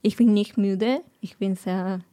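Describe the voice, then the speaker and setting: Slow-speaking Argentine woman guest, hesitant German. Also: mid-range voice, steady tone, warm tone, dry recording. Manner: hesitant non-native German, slips into English words. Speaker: guest, former au pair.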